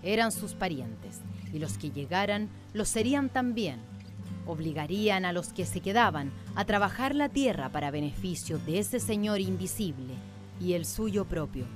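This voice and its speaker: A woman with a rather low voice narrates a radio drama. Her delivery is scripted, literary and solemn, like a storyteller's, and music plays clearly behind her. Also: animated, normal speed.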